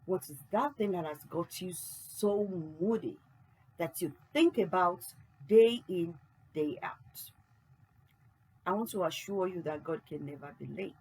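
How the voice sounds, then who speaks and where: A woman's voice: fairly low pitch, lively intonation, measured pace, a little mellow, husky, rough recording. A woman hosting a book channel, earnestly encouraging listeners with rhetorical questions after a reading.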